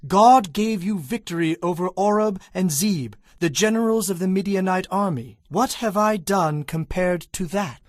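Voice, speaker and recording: An actor playing a resolute, stern Israelite war leader in a dramatized audio Bible, with emphatic, declamatory delivery. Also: male voice, sits high, normal speed, few pauses, no room sound, clean studio sound, close to an everyday voice. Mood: placating, earnest.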